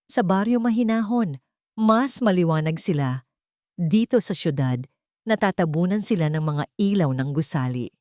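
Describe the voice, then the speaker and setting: A gentle nanny, acted by a woman storyteller, humble and forgiving. She speaks softly and respectfully in a low register. The recording is close and dry, in audio drama style. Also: normal speed, dry recording, natural voice. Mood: wistful, calm. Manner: soft, respectful, calm.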